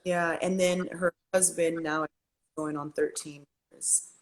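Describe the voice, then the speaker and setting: A woman's voice: low-pitched, flat delivery, unhurried. A woman guest on a casual livestream chat, talking emphatically and bluntly, with some swearing.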